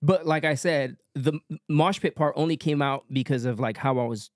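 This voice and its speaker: A young man talking casually as a musician guest on a podcast, relaxed, with lots of fillers. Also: a little high, normal speed, no echo, studio quality. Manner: relaxed, many fillers, light-voiced.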